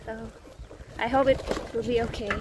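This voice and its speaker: A woman vlogging casually while riding a bicycle on country roads, speaking haltingly with small laughs, with Thai as her native tongue, holding the phone camera in one hand. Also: fairly high pitch, fairly even intonation. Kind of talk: casual vlog narration while cycling. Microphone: handheld phone camera. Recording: loud outdoor wind and road noise.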